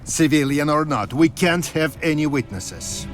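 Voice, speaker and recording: An actor playing a ruthless, cynical military spymaster in a video game, with a deliberate, menacing and theatrical delivery. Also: male voice, on the high side, animated, music in the background. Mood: cold, commanding. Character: ruthless scheming male military spymaster, cynical about war. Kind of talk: dramatic video game cutscene acting.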